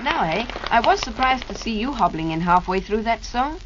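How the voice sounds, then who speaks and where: Brisk teacherly woman on crackly old radio. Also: fairly high pitch, big pitch swings, lo-fi sound. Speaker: radio show presenter. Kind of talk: scripted children's radio banter.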